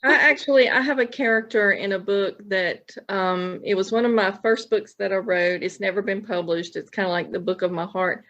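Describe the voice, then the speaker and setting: A woman's voice: medium pitch, moderate pace, few pauses, fairly bright tone. Woman chatting casually on dull lo-fi audio. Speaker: podcast guest, novelist. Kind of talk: casual chat.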